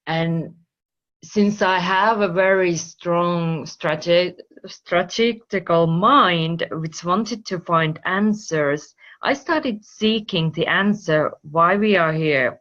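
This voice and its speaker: A woman with a Finnish accent, a spiritual counselor telling her story in a podcast interview, pausing and searching for words. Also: lowish voice, a bit bright. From Finland. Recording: dull lo-fi sound.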